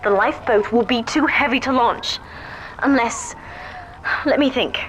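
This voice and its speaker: Earnest, higher-voiced young daughter played by an actress. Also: female voice, measured pace, noisy background, lightly acted. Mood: determined urgency. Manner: earnest, urgent, articulate. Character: devoted, determined young daughter guiding her father. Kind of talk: dramatic video game voice acting.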